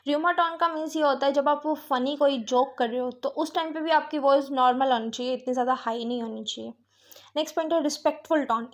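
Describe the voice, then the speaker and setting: Indian lady teacher, pretty high voice, goes point by point explaining stuff, mixes in english terms. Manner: mixes Hindi with English terms, often checks understanding with tag phrases. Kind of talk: explanatory lesson, reading points aloud then explaining.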